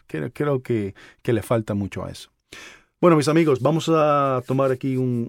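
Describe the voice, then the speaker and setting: A man's voice: mid-range voice, a little mellow, no room sound, studio quality. A man hosting a podcast, chatting casually and informally with familiar, joking ease. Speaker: podcast host. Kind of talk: casual conversational podcast talk and interviewing.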